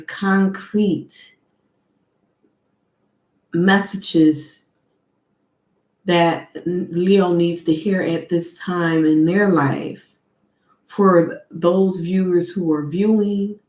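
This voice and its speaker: A woman giving a tarot reading who talks slowly and deliberately, sniffling from allergies, and calls on spirits in a measured, invocation-like way. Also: lowish voice, steady tone, roomy sound. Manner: slow, soft and deliberate, with an invocation-like cadence. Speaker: tarot card reader.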